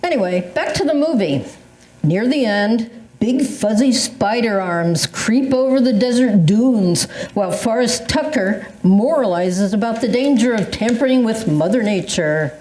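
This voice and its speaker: A woman's voice: on the high side, animated, measured pace, darkish tone. An older woman in an amateur staged reading, playing a dramatic senior convinced of conspiracies, with a theatrical, emphatic delivery. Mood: ominous. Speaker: amateur readers theatre performer. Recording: echoey room recording.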